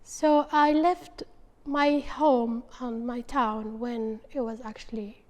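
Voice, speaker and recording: Young woman from yemen, kinda high voice, stops and hunts for words, reverby room. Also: fairly even intonation, darkish tone. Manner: halting, searching for words. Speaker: interviewee. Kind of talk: casual interview answers.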